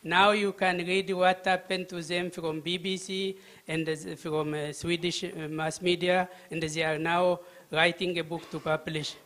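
An older man from Ethiopia speaking up from the audience at a conference, with a handheld microphone, halting and full of filler sounds but earnest and pressing. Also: high voice, little pitch movement, a bit bright. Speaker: audience member asking a question. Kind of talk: public question from the floor. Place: vaulted conference hall with audience.

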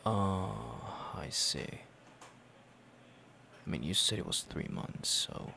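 A man acts out a jealous young male best friend in an intimate ASMR roleplay. His voice is very low and swings widely in pitch, with halting, extremely slow phrases broken by stammers and sighs. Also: expressive, gravelly, bright, forceful tone, slight background noise, heavily acted. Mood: jealous, unsettled. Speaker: roleplay audio performer.

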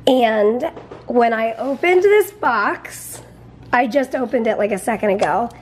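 A woman's voice: fairly high pitch, animated, measured pace, a little hiss or hum. A young woman co-hosting a casual cooking vlog in her home kitchen, gushing over small things. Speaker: vlog co-host. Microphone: handheld camera.

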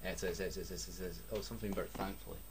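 A young man with a Scottish accent reads a clumsy story aloud and keeps breaking off to mock it, swearing freely. His voice sits low. A faint hiss of noise runs under the recording. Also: fairly even intonation, racing. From Scotland. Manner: sarcastic, swears a lot, breaks off to joke. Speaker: reader. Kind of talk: mocking comedic reading of a story.

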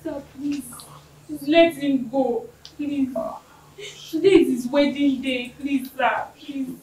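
A tearful young woman, begging in a high, very slow voice. She sounds set back from the mic in an echoey room. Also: steady tone, a little mellow, recorded from a distance.

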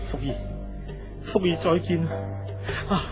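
An actor playing a devoted young hero in a radio drama, speaking earnestly and emotionally with a Hong Kong Cantonese accent. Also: male voice, fairly high pitch, measured pace, lo-fi sound, over music, lightly acted. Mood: tender, grieving.

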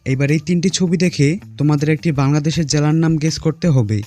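A man's voice: a little high, fairly even intonation, fast, darkish tone, faint music behind. A man narrating a scripted puzzle quiz as host, speaking directly to viewers.

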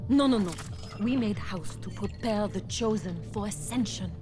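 A woman's voice: mid-range voice, moderate pace, over music, lightly acted. Woman as a haughty French female cultist. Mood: indignant, lofty. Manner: pompous, mystical, aloof. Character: haughty, serene female cultist, a talking severed head. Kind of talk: video game character acting.

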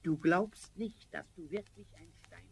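A man's voice: high voice, unhurried, darkish tone, husky, exaggerated voice. A man playing a tiny, ancient forest spirit, stern and commanding, with solemn old-fashioned diction in a fairy-tale audio drama. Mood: crafty, relenting. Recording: vinyl rip with faint noise.

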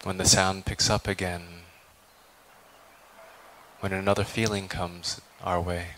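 A man guides a meditation in a low, flat voice. He is calm and contemplative, leaving long pauses between deliberate phrases. Some ambient noise sits behind him. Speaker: meditation teacher, Buddhist monk.

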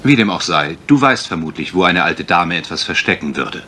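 An actor playing an urbane, teasing gentleman sleuth in a German radio crime drama, dry, ironic and probing. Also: sits low, animated, fairly quick, a little hiss or hum, heavily acted.

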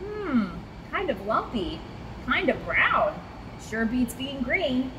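An excitable young storybook snake, a vain little fellow acted out by a woman reading aloud. He talks in dramatic exclamations, pitched high, with clear background noise. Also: slow pace, full character voice. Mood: relieved. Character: energetic, vain young male snake, easily alarmed.

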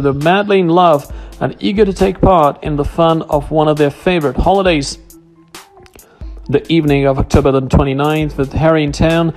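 Guy reading royal news script, voice a bit high, trips on words sometimes, faint music behind. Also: a little mellow. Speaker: narrator. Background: background music.

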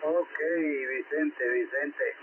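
A man's voice: on the high side, steady tone, very slow. A man chatting casually as an amateur radio operator, repeating himself and addressing his contact directly. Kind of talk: casual ham radio chat. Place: heard over a ham radio receiver. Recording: tinny shortwave radio with light static.

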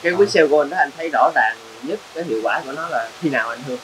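A man asks loosely worded, probing questions at a quick pace during a farm visit. There is steady background noise around him outdoors. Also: on the high side. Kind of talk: casual on-site interview. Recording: noisy outdoor farm recording.

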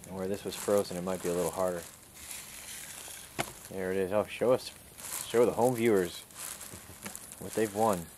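Man, lowish voice, calm instructor, step-by-step teaching, outdoors, camera mic, faint outdoor noise. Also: normal speed, long pauses. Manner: calm, instructive, talks through each step. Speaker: survival skills instructor. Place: outdoors in a wooded clearing.

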